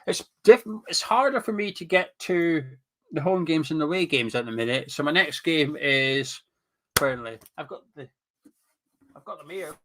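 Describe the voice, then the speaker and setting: A man's voice: a little high, expressive, normal speed, a bit bright. A Scottish man hosting a live stream from his webcam, reading out viewer comments and chatting, sniffly and blocked up with a cold. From Scotland. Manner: chatty, banters with chat, drifts off topic.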